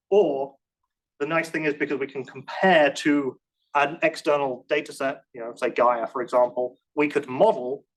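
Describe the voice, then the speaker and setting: A man at a lecture-room conference fielding technical questions. He talks in a casual, halting way, restarting sentences and hedging with self-deprecating remarks. His voice sits at an ordinary middle pitch. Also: poor audio. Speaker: conference speaker answering questions.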